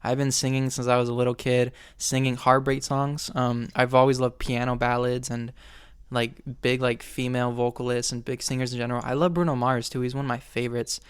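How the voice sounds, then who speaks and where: A man's voice: mid-range voice, flat delivery, fairly quick, clean tone, no room sound, clean studio sound. A man hosting a podcast, gushing in a friendly, casual way and asking questions. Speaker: podcast host. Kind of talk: casual interview chat.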